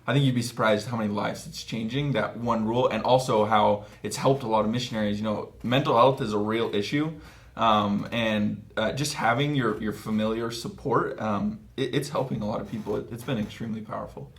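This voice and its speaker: A man's voice: fairly low pitch, steady tone, fairly quick, vocal fry. A man in his twenties talking casually as an interview guest in a small meeting room. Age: 20s. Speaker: author and guest. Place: small office meeting room, seated at a table.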